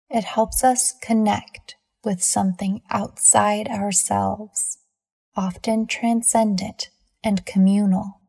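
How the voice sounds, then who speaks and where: A woman leading a scripted guided meditation in a measured, soothing manner with deliberate pauses between phrases. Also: mid-range voice, creaky, darkish tone, breathy edge.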